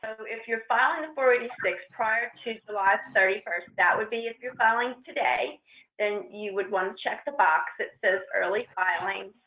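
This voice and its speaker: A woman presenting on a training call, walking informally through a procedure step by step in a halting, hesitant way. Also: mid-range voice, slight background noise. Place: conference call with shared screen. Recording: roomy, muffled conference-call line.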